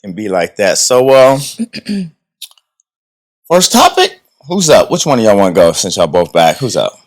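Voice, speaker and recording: A man hosting a podcast, introducing a guest slowly and joking loosely with his co-hosts. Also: lowish voice, huge pitch swings. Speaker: podcast host. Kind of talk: casual podcast chat.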